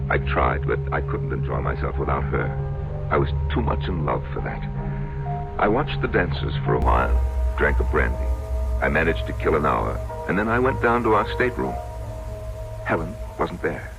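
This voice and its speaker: An insecure, lovestruck husband in an old radio drama. The voice is very low and swings widely in pitch. He speaks haltingly and runs himself down, with loud music behind. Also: big pitch swings, gravelly, heavily acted, poor audio. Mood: wistful recollection. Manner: halting, self-deprecating, repeats himself. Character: short, insecure, adoring husband recounting his marriage. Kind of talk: radio drama acting, confessional first-person narration.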